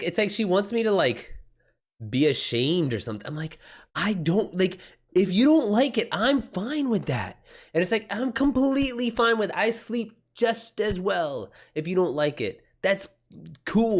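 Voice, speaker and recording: A man chatting informally as an author on a livestream, rambling in loose, slangy, sweary talk. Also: high-pitched. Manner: rambling, retells exchanges in quoted back-and-forth. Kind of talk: casual livestream chat with anecdotes.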